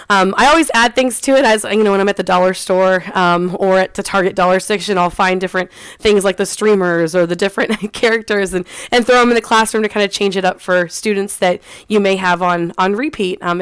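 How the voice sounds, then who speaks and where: A young woman rambles through her experience in a relaxed, chatty way, peppered with ums, restarts and small laughs. Her voice is smooth and clear. The sound is dry and close, with no room echo. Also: medium pitch, brisk. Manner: laughs lightly between phrases. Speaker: online English teacher. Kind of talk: casual vlog chat.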